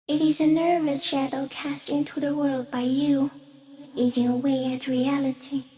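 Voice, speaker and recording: A woman voicing a giant stick insect in a video game, gentle, solemn and cryptic, speaking in slow, measured phrases. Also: high voice, flat delivery, natural voice. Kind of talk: video game character acting.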